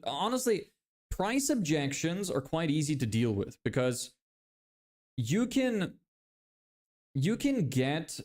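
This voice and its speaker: Young Lithuanian man streaming live. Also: a little high. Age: 20s. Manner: relaxed, reads viewer questions aloud, frequent fillers and restarts. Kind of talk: casual live-stream chat, explaining sales tactics.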